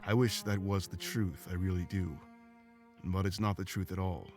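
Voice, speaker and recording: A man voicing an ancient, weary, remorseful animal companion in a visual novel game, speaking in an earnest, measured, confessional way. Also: low-pitched, fairly even intonation, a little mellow, faint music behind, close to an everyday voice. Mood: somber confession.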